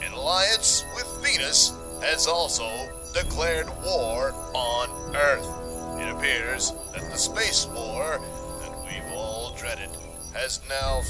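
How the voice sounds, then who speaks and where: A man as a slow, dramatic news announcer. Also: medium pitch. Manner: formal, deliberate, dramatic. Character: solemn, dramatic male news bulletin announcer. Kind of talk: mock news bulletin in sci-fi cartoon.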